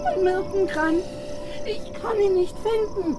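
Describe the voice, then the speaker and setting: Eine Schauspielerin spielt im deutschen Hörspiel eine verstörte, suchende Frau, klagend und gedehnt. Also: female voice, extremely high pitch, over loud music. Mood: plaintive, lost. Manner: plaintive, drawn-out. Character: distraught woman searching for her lost myrtle wreath. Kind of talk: German radio drama acting.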